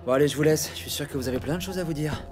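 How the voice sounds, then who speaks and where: A man's voice: a little high, racing, music in the background, lightly acted. An actor playing a cocky, streetwise male cop in an audio drama, talking informally and tauntingly. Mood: needling. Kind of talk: dramatic audio series acting.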